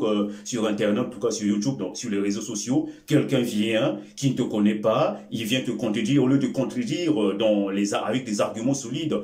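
Slow, emphatic man in an echoey room. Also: on the low side, a little mellow. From Congo. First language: Bembe. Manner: slow and emphatic with many filler sounds and repetitions; gestures with both hands while speaking. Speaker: life-lesson video host. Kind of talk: motivational talk to camera. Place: small indoor room before a curtain.

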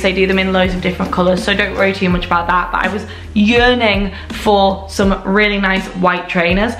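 A woman's voice: mid-range voice, a bit bright. A young woman in her twenties chatting to camera at home, reviewing products with gushing enthusiasm. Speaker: lifestyle vlogger. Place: indoor room at home. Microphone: camera at desk distance. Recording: echoey room with faint music.

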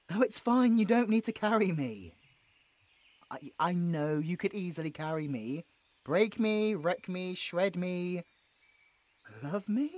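British male hunter a man acting, frightened captive with a sarcastic streak; stammering, very animated delivery, dry recording. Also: sits high, no room sound. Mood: anxious, pleading. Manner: stammering, pleading, with muttered sarcastic asides. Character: frightened, sarcastic injured young monster hunter, placating his captor. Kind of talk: audio roleplay acting.

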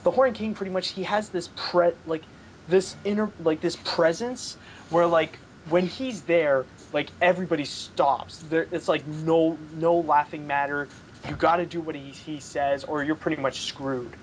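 A man's voice: on the high side, steady tone, moderate pace, a little hiss or hum, rough recording. A young man hosting a casual film discussion over a video call from his home room, rambling enthusiastically with lots of "like" and "pretty much". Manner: enthusiastic, gestures while talking. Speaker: podcast host. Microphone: headset on a video call.

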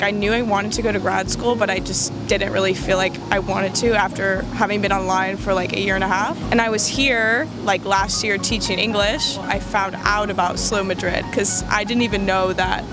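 A woman's voice: medium pitch, steady tone, moderate pace, hardly any pauses. A woman in her twenties from California, a master's student, speaking casually with lots of fillers in an outdoor interview. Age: 20s. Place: outdoors on a campus courtyard. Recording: music bed underneath.

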